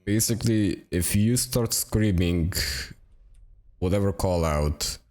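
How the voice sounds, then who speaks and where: Deep-voiced raspy young man, tired. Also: slow pace. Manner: relaxed and rambling, with many fillers. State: raspy and low on energy from a cold. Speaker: gaming video creator. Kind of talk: casual tutorial narration. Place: home apartment.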